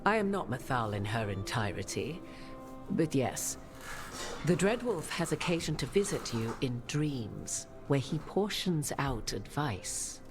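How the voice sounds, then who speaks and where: A woman playing a solemn human sorceress in a video game, speaking in measured, archaic, formal phrases. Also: very deep voice, very animated, exaggerated voice. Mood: grave, formal. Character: solemn human sorceress bearing an ancient goddess's memories. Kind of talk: dramatic video game dialogue. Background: prominent music.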